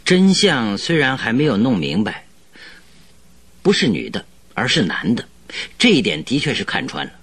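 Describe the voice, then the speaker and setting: A man playing a confident, shrewd detective in a radio drama, speaking in a husky, assured, deliberate way. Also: mid-range voice, sing-song, fairly bright tone, exaggerated voice. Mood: pleased, assured. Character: confident, shrewd male detective planning a night investigation.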